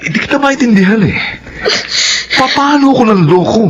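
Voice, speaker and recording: An actor playing an innocent, easily flustered boyfriend in a melodramatic Tagalog drama, with earnest, hesitant delivery. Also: male voice, very high voice, expressive, normal speed, highs cut off. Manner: stammering, earnest. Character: flustered, innocent boyfriend wrongly accused, pleading.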